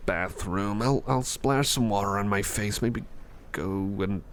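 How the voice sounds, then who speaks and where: A man playing a flustered, apologetic boss in an ASMR roleplay, stammering and repeating himself in a creaky voice. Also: lowish voice, unhurried, slight background noise, close to an everyday voice. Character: flustered, apologetic boss recovering from an embarrassing outburst.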